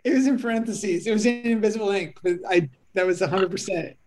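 A woman's voice: mid-range voice, fast talker, music in the background. A woman guest on a podcast, commenting with dry jokes in casual chat.

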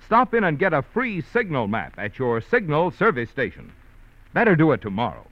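A man's voice: a little high, huge pitch swings, normal speed, no room sound. An American man announcing commercials and credits in a polished, formal 1940s radio style. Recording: dull vintage radio recording with music.

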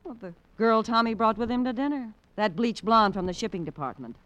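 An actress playing a snobbish, chatty middle-aged married hostess in an old radio drama, indignant and theatrical. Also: female voice, on the high side, expressive, a little fast, a bit bright. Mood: indignant, catty. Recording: noisy vintage radio recording.

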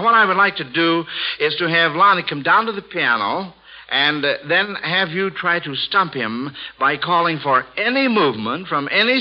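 A man hosting a radio quiz show, friendly and chatty, often hesitating with filler sounds. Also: on the high side. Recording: dull lo-fi vintage radio recording.

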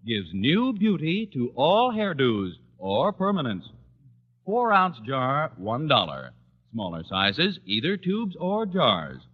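Old timey radio ad guy, polished pitch, husky edge, really animated, muffled recording. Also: slow pace. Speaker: commercial announcer. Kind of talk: 1940s-50s radio ad read.

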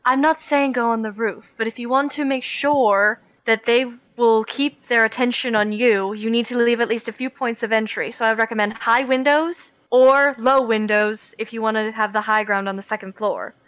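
A woman with a smooth, resonant voice pitched a little high, laying out a plan in character. Her quick, lively talk sounds close and dry, with no room sound. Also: no echo. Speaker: player. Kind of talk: in-character tabletop play.

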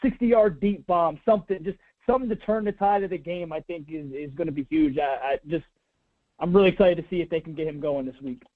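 A man calling in to a sports show, excited and rambling, with lots of fillers. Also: fairly high pitch, fast talker. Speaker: caller. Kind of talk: casual sports chat. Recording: tinny phone line.

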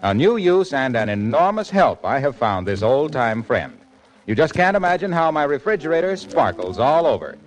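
A man's voice: mid-range voice, big pitch swings, moderate pace, low-quality recording. An American man announcing scripted 1940s radio commercials in a polished, formal, salesmanlike way.

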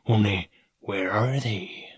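A man's voice: fairly low pitch, slow, husky, full character voice. A man voicing a cunning, domineering pirate cook from a storybook, scolding and scheming in rustic seafaring speech. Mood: scornful.

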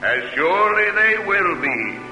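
An actor playing a solemn, exalted orator, declaiming in stately 1940s radio style to a whole nation. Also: male voice, sits high, big pitch swings, a little slow, pushed and bright, full character voice, rough recording. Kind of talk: 1940s radio dramatic recitation. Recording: old radio broadcast with music.

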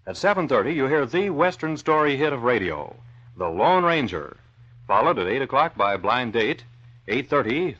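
A man's voice: medium pitch, pushed and bright, breathy edge. A man announcing and narrating in a dramatic, declamatory 1940s radio style. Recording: muffled old radio recording.

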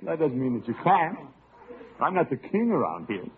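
An actor playing a pompous, exasperated middle-aged uncle in a blustery, grumbling 1940s radio comedy style. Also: male voice, on the high side, very animated, moderate pace. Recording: noisy, tinny old radio recording.

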